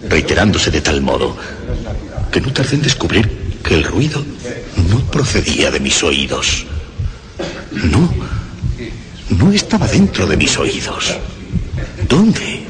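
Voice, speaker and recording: Theatrical mad murderer, wildly swinging voice. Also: male voice, medium pitch. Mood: anxious, tense. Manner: theatrical, deliberate phrasing with intense emphasis. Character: mad murderer narrating his crime, increasingly frantic. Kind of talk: Spanish radio horror drama monologue.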